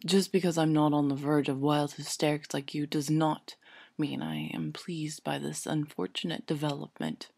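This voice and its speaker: Woman doing a low, deadpan, sneering male wizard. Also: moderate pace, vocal fry, dry recording, studio quality, exaggerated voice. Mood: cold, sneering. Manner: deadpan, muttering, pointed, coldly unmoved. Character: sardonic, bland, sneering adult male wizard.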